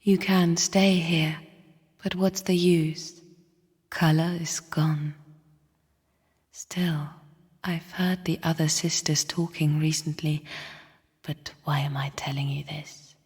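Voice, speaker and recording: Casting: scornful female game spirit, low husky whisper, slow cryptic delivery, long cavernous reverb. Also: steady tone, darkish tone, full character voice. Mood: bleak, resigned. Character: bleak, bitter female spirit sister of a dying void. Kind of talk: video game character monologue. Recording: long reverberant hall echo.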